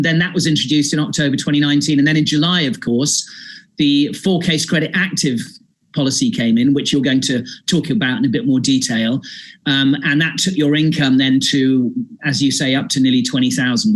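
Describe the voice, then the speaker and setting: A man hosting an online event and interviewing a guest over a video call, chatty and effusive. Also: fairly high pitch. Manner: chatty, effusive, many fillers. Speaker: host and interviewer.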